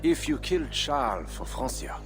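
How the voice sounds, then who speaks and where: A man's voice: medium pitch, sing-song, normal speed, music in the background. A man playing a proud, ambitious Frankish count, formal and solemn, in a dramatic video game. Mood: ambitious, resolute.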